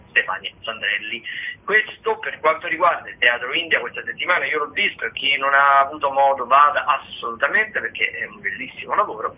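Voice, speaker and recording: Husky, higher-voiced man on tinny radio. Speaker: radio theatre show host. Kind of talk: conversational radio listings of theatre and dance shows. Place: radio broadcast. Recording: slightly band-limited, phone-like radio sound.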